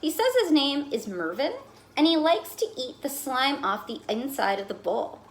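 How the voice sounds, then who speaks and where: A fussy, put-upon goldfish voiced by a woman tells its diary as a children's storybook. The voice sits high and swings widely in pitch. The delivery is theatrical and expressive, like someone reading aloud to children. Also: normal speed. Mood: dry, bemused. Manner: dramatic, exasperated, expressive storytelling. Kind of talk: expressive children's picture book read-aloud.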